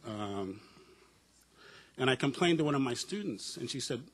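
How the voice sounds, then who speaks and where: A middle-aged man from the United States speaks with a husky, slightly breathy voice at a pitch that sits in the ordinary middle range. He tells personal stories on a discussion panel in a reflective, wry way and leans on frequent uh and um fillers. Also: animated, normal speed. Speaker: panelist, professor.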